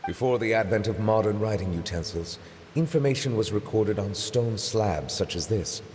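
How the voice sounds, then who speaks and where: A stately male judge from a video game, voiced by a man, speaking in a low, composed voice. He chooses every word with formal precision and measured calm. His humor is dry. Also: a little hiss or hum, close to an everyday voice.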